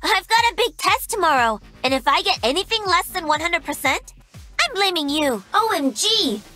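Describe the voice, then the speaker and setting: A sassy young gamer girl, very high. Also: sing-song, moderate pace, pushed and bright, faint music behind, exaggerated voice. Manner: sassy, teasing, bubbly. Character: cocky young female gamer mech pilot. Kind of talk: game voice lines.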